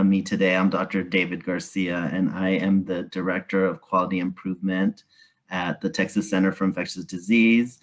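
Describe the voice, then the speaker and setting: Low-voiced man, polite panelist. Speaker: panelist, behavioral scientist. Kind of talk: self-introduction on a panel.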